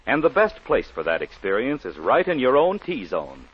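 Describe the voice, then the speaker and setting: A man's voice: medium pitch, wildly swooping pitch, moderate pace, slightly rough. A man reading a scripted 1940s radio advertisement as a commercial announcer. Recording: muffled 1940s radio recording.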